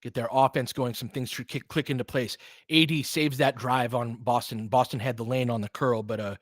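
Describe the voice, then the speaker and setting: Male play-by-play host for basketball. Conversational, thinks out loud, breaks down the action, recorded dry. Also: medium pitch, fairly even intonation, normal speed, dry recording. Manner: conversational, thinking aloud, analytical. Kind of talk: live basketball commentary with casual asides.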